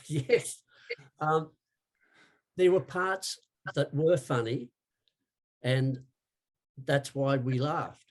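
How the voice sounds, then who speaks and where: A man's voice: fairly high pitch, very slow, pauses often. A man, a former cameraman, chatting casually in an interview. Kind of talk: casual chat.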